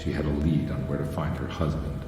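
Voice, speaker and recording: Deep, weary private detective. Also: male voice, fairly even intonation, a little fast. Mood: brooding. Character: weary, troubled male 1930s private detective. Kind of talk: video game cutscene acting with brooding monologue. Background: music.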